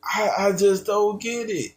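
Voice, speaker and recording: A man's voice: sits high, steady tone, unhurried. A man streaming, reacting to a video with slangy, incredulous casual commentary.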